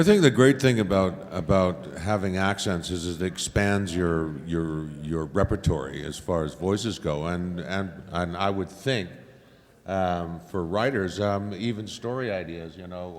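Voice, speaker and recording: A man with a big voice speaking hesitantly as a voice actor on a convention panel. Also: sits low. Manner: rambling, hesitant, fills pauses with uh. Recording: hall reverb from convention room.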